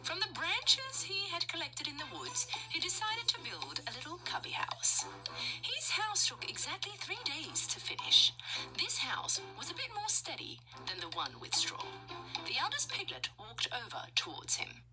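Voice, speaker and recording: Lady reading a kids story, super expressive singsong pitch, loud music under her voice. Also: normal speed, poor audio. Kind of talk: expressive children's story narration.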